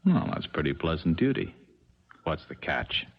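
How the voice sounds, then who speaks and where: Deep-voiced, slangy ex-con. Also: male voice, big pitch swings, moderate pace, breathy edge, exaggerated voice. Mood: casual, curious. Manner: informal, slangy, slightly hesitant. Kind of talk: 1970s radio drama acting. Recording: noisy, dull old radio recording.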